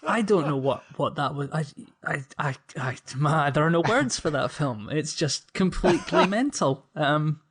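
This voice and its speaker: A man co-hosting a casual podcast discussion with a dry, wry and slightly halting delivery. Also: a little high, lively intonation, a bit bright, slightly rough. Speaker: podcast co-host.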